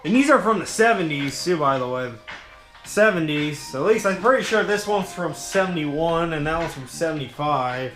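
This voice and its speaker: Young male hobby vlogger, slightly high voice, informal rambling tour narration, some music behind him. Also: lively intonation, moderate pace, a bit bright. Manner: informal, rambling, addresses viewers as guys. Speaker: hobbyist video host. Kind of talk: casual show-and-tell walkthrough.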